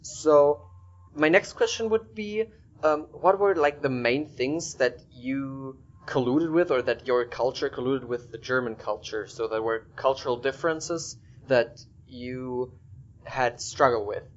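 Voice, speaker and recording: A man with a mid-pitched voice hosts a casual podcast interview. He eases into points tentatively, repeating his first words, and the recording is slightly dull and lo-fi. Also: measured pace.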